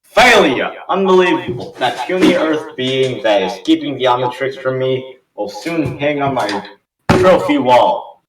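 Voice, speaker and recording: A furious alien conqueror, voiced by a man, booming and boastful in the manner of a cartoon villain. The voice is pushed up loud and sounds distant in a roomy, faintly noisy space. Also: lively intonation, unhurried, lightly acted.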